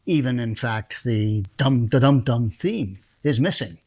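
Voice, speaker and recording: A man's voice: mid-range voice, expressive, measured pace, a little mellow. Chatty male producer introducing a show. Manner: chatty, enthusiastic, laughs between phrases. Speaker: producer and host. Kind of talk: casual introduction.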